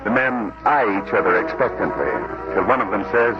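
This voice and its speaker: A man giving formal, measured scripted narration as the announcer of a 1940s radio drama. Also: on the low side, animated. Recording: muffled old radio, loud music behind.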